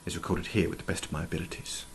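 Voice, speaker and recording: A man's voice: deep voice, flat delivery, racing, creaky, slight background noise. A man playing a formal chronicler narrating an audio drama. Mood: solemn. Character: formal male chronicler recording events.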